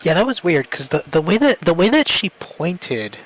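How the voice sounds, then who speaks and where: A man's voice: sits high, big pitch swings, moderate pace, low-quality recording. A man chatting casually as a co-commentator during a video game playthrough. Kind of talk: casual let's-play chat.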